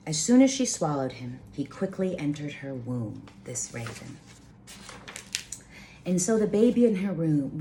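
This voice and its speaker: A woman storyteller reading aloud with informal asides, halting and careful over names. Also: sits low, a little mellow, slight background noise.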